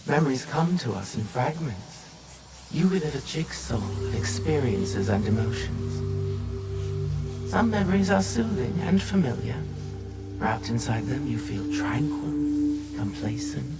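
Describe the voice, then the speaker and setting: An enigmatic male guide from a video game memory simulation, speaks in a mid-range voice. He is soothing, cryptic and deliberate, with clear music underneath. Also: mid-range voice, very animated. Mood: cryptic, coaxing. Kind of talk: scripted video game narration.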